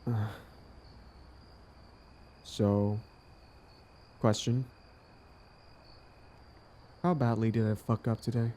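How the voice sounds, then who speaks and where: A man acting out a smug but smitten villain on a date, smooth-talking and casual, in an intimate audio roleplay. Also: lowish voice, steady tone, very slow, pauses often, dark tone. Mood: flustered, hesitant. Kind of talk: intimate scripted boyfriend-style audio roleplay.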